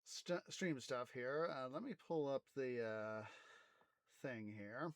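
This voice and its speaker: Unhurried, clear-voiced man chatting on stream. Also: mid-range voice, animated, no room sound. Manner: hesitant, rambling. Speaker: live streamer and host. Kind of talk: casual livestream chat while gaming.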